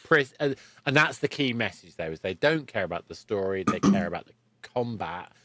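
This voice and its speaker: A man's voice: on the low side, expressive, moderate pace, fairly bright tone. A man hosting a podcast, explaining things conversationally and repeating words for emphasis. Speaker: podcast host.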